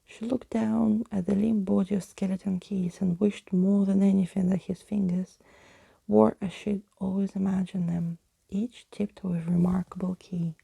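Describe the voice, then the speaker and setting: A woman reading a bedtime story in a soft ASMR whisper, close to the microphone. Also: medium pitch, flat delivery, moderate pace, gravelly, husky, no room sound, low-quality recording. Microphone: close microphone, dry.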